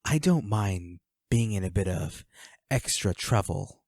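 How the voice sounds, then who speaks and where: A man's voice: on the low side, lively intonation, a little slow, a little mellow, dry recording, clean studio sound. A man playing a flirty, smug villain henchman in a roleplay audio drama, taunting a captive in a teasing, coolly controlling manner. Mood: smug, bargaining.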